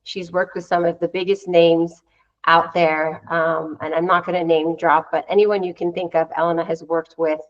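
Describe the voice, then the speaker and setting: A woman with a fairly low voice hosts a conversational interview. She speaks as a host introducing a guest, and the recording is somewhat dull and lo-fi. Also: flat delivery, normal speed.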